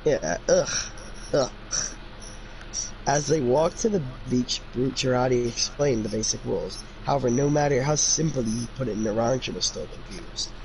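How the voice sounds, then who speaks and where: Guy reading fanfic out loud, chatty and opinionated, noisy recording. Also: medium pitch, lively intonation, normal speed. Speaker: fanfiction reader and commentator. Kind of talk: casual amateur read-aloud with chatty commentary.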